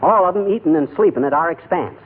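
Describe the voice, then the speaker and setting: Scheming, folksy wisecracker husband, lively swoops, 1940s radio comedy with narrow, hissy sound. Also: male voice, a little high, fast talker, slightly rough. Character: blustery, scheming, penny-pinching husband. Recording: tinny, faintly noisy 1940s radio recording.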